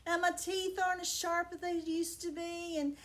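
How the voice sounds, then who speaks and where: A woman voicing an old female cat who sounds tired and gentle, speaking softly and haltingly. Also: very high voice, flat delivery. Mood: weary. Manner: soft, rambling and hesitant.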